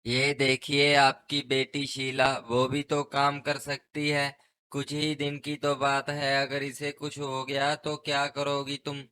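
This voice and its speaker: A man's voice: mid-range voice, monotone, takes it slowly, pushed and bright, a little hiss or hum. A man playing a protective young husband in a cartoon story, earnest and reproachful but restrained. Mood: pleading, firm. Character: protective young husband pleading for his sick wife. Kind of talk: cartoon moral story dialogue.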